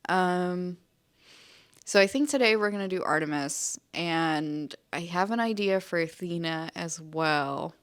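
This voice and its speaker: A woman's voice: on the low side, unhurried, clear, resonant tone, no room sound. A young woman artist chatting casually in a vlog, a little nasally from a cold, with frequent ums and likes. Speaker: artist vlogger.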